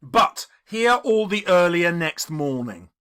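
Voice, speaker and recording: A man's voice: sits high, big pitch swings, slow pace, fairly bright tone, clean tone, full character voice. A man voicing a miserly, grumpy old businessman for an audiobook, curt and grudging, with sharply emphatic grumbling. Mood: grudging, indignant.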